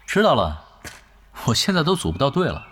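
A man's voice: mid-range voice, wildly swooping pitch, fairly quick, noisy background. An actor playing a cheeky, unflappable young male gamer in a radio drama, speaking in a nonchalant, terse way. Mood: cheeky, casual. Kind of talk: Chinese radio drama dialogue.

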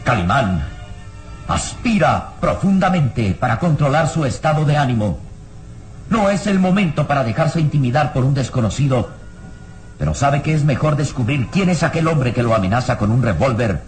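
An actor narrating an adventure radio serial in a solemn, suspenseful, dramatic style. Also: male voice, mid-range voice, animated, soft background music, natural voice. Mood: tense. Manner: suspenseful, solemn, measured phrasing. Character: omniscient suspenseful adventure storyteller.

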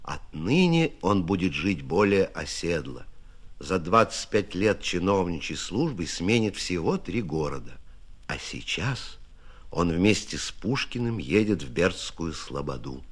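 Deep, very animated man narrating radio drama. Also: unhurried, husky.

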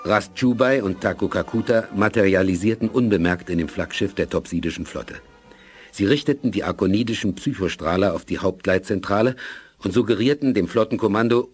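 A man's voice: low-pitched, close to an everyday voice. A man narrating a science fiction radio play in a scripted, sober manner, with music under his voice. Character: sober narrator of a space adventure.

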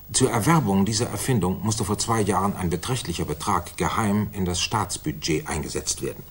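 An actor in a 1960s German radio drama playing a self-satisfied, authoritative senior government official who speaks formally and deliberately. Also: male voice, lowish voice, husky.

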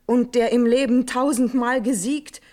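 A woman's voice: on the high side, fairly even intonation, measured pace, a little mellow, natural voice. An actress playing a devoted young noblewoman in a classical verse drama, speaking with solemn, elevated declamation. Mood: grave, encouraging. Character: devoted, brave young noblewoman pleading for her cousin.

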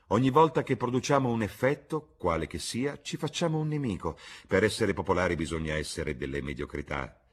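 A man's voice: low voice, expressive, brisk, hardly any pauses, husky. A man voicing an urbane, cynical aristocratic lord who pontificates in epigrams, as part of an audiobook. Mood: witty.

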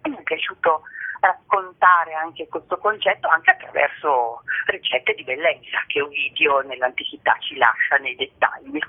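A woman's voice: deep voice, expressive, normal speed, husky. A woman explaining things in an articulate, reflective and didactic way during a radio interview. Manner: articulate, didactic, uses rhetorical tag questions. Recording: tinny, narrow phone-line sound.

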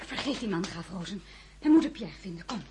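An actress in a period radio drama playing a determined marshal's wife with an insistent, commanding manner. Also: female voice, mid-range voice, lively intonation, brisk, a little mellow, lightly acted. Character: determined, high-born married woman, marshal's wife. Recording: noisy radio drama recording.

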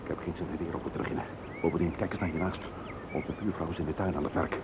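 An actor playing a callous, scheming murderer in a radio play, blunt and cynical, with a gravelly voice. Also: male voice, low-pitched, fairly even intonation, racing. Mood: irritated, sly. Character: callous scheming wife-murderer confessing without remorse. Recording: tinny, noisy old radio play recording.

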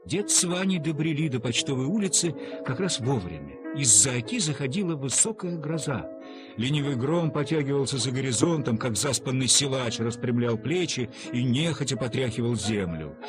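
A man's voice: mid-range voice, lively intonation, moderate pace, music in the background. A man narrating a literary story for an audio play in a scripted reading. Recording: cassette transfer.

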